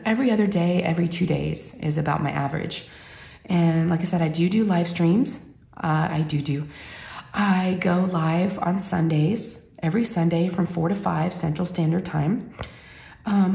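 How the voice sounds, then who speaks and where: Woman vlogger, hoarse from illness, deep scratchy voice, mellow, echoey room. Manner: chatty, rambling, folksy. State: hoarse and sick, catching her breath. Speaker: YouTube creator. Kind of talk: casual chat to viewers. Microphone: camera mic.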